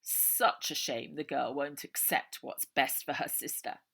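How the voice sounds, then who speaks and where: A woman voicing a sinister gentleman undertaker with a formal, unctuous manner in a storybook. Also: low voice, steady tone, unhurried, creaky, husky, full character voice. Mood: cold, smug. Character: sinister, smooth gentleman undertaker, menacing villain.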